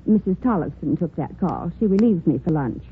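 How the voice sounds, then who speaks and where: A woman's voice: fairly low pitch, big pitch swings, fairly quick, slight background noise. A woman playing a courteous, faintly officious hotel desk clerk in a 1940s radio drama, with formal, precise speech. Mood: puzzled, helpful. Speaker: actress in a radio detective series. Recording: tinny 1949 radio recording, faint music.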